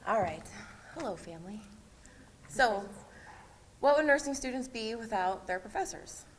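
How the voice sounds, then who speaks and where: A woman with a soft voice giving a nervous, hesitant speech full of ums. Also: fairly high pitch, animated, roomy sound, a little hiss or hum. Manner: hesitant, halting, with many ums. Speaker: nursing graduate giving a speech.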